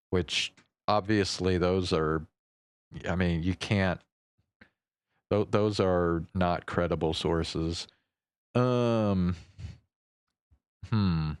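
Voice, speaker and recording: Deep voiced guy streaming, slow casual rambling, kinda hesitant, swears a bit. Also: clear, resonant tone, no echo. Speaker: livestream host. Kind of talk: casual unscripted livestream chat.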